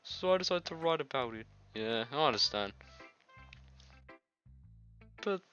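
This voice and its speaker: A man's voice: medium pitch, lively intonation, a little fast, bright, forceful tone, lo-fi sound. A man reading visual novel game dialogue aloud as a let's-play host, in a loose, stumbling way. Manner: loose, stumbling read-aloud with misread words. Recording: music behind the voice. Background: game music.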